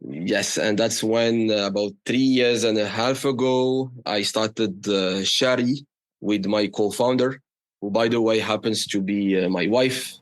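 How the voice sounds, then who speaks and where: A man's voice: on the low side, fairly even intonation, a little slow, no room sound. A man from Morocco with a French accent, speaking non-native English as a guest in a conversational interview. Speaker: startup founder, podcast guest.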